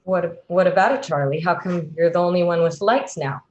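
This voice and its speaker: A woman's voice: fairly low pitch, moderate pace, lightly acted. A woman playing a pushy, suspicious neighbour in a radio play, confrontational, on a dull, echoey home recording. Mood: accusing.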